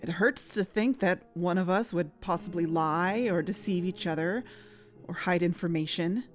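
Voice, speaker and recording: A woman in scripted audio drama dialogue, earnest and worried, sometimes rushing her words. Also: on the low side. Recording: music bed underneath.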